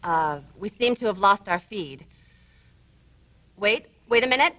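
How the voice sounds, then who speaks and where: A woman's voice: medium pitch, expressive, measured pace, sharp tone, dry recording. A woman playing a news co-anchor in a game's parody newscast, earnest and announcerly. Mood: flustered. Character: poised female TV news co-anchor.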